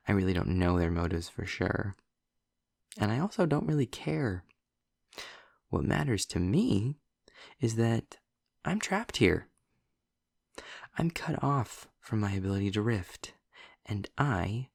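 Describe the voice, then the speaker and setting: A man's voice: no echo, clean studio sound, heavily acted. A man playing a snarky, impatient male demon in an audio roleplay, speaking to the listener with theatrical, sardonic condescension. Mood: exasperated, sardonic. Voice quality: low, gravelly, husky with breathy drops. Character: snarky, impatient male demon trapped in his own hell.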